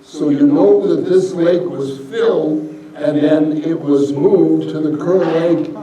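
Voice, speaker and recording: An older man from Montana giving a rambling, folksy slide-show history talk, a little hoarse from a cold. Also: on the high side, measured pace, warm tone, highs cut off. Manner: folksy, rambling, many fillers. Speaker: local history presenter. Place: lecture hall. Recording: echoey hall, from far off.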